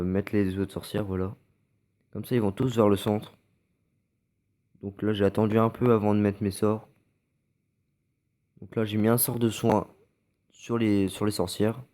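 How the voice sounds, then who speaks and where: Mellow-voiced French man with a cold. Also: fairly low pitch. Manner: hesitant, many fillers and restarts. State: stuffy from a cold. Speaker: gameplay commentator. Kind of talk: casual gameplay commentary over recorded matches.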